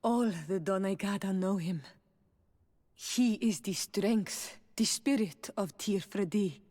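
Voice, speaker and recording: An actress playing a proud, helpful island princess in a video game, speaking in a solemn and measured way. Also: female voice, mid-range voice, mellow. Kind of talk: dramatic video game acting. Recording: long hall-like reverb.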